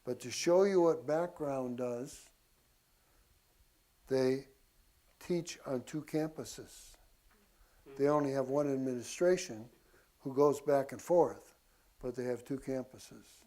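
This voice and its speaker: A man's voice: mid-range voice, takes it slowly, pauses often, warm tone. A man giving an informal history lecture to a group, in a folksy way with casual asides to his listeners. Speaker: church class teacher.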